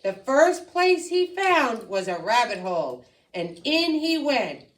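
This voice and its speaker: A woman reading a folktale aloud to children, expressively, deliberately and with dramatic emphasis. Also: on the high side, sing-song. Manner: deliberate, dramatic, with sound effects and repetition. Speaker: storyteller. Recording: roomy echo, distant microphone.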